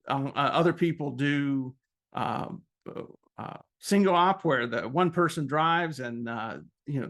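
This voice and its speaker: A man giving an informal explanatory presentation as a club president, hesitating often with halting phrasing and frequent ums. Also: mid-range voice, fairly bright tone.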